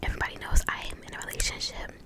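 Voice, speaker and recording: Whispering young American woman, ASMR. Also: very fast. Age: 20s. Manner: chatty, long-winded, repeats words softly. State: pregnant and short of breath. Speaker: ASMR video host. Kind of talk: whispered ASMR storytime, casual chat.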